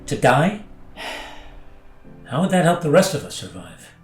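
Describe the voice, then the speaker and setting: A conflicted male pilot acted by a man in an audio drama, with a very varied, animated pitch. He sounds hesitant and incredulous, a skeptic resisting a scheme. The room echoes and faint music plays underneath. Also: slow pace. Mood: incredulous, resistant.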